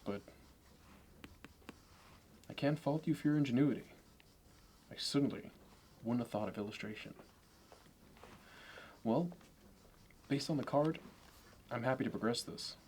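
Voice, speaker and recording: A man playing a polished, courteous and meticulous finance executive in an ASMR roleplay, speaking in a measured, halting and formal way. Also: mid-range voice, darkish tone, slight background noise, close to an everyday voice. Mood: measured, businesslike. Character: polished, courteous, obsessively appraising male Manhattan finance executive.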